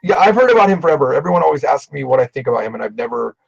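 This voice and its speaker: A middle-aged man and language teacher chatting casually on a video call, animated and self-deprecating. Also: mid-range voice, racing, vocal fry, husky, lo-fi sound. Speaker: Japanese teacher and show host. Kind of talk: casual livestream conversation.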